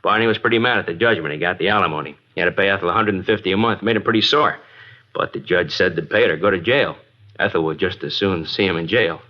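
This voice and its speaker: A man's voice: sits low, fast, lightly acted. A man acting a guarded, reluctant working-class garage owner in an old-time radio drama, colloquial and grudging. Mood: grudgingly candid. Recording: dull vintage radio broadcast.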